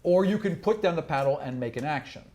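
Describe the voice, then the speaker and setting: A man's voice: fairly high pitch, lively intonation, quick pace, mellow. A man improvising narration as game master of a tabletop fantasy game, explaining things in a conversational way. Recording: roomy echo, faint background noise.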